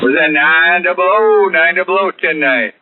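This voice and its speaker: A man's voice: a little high, moderate pace. A man chatting casually as host of a CB radio net, using folksy, salty radio slang. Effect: CB radio transmission. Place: over CB radio. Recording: tinny CB radio, loud static and clicks.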